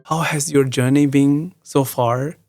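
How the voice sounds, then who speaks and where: A man's voice: mid-range voice, steady tone, a little slow, loud music behind the voice. Male podcast host asking questions. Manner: brief prompting questions and acknowledgments. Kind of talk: interviewer questions.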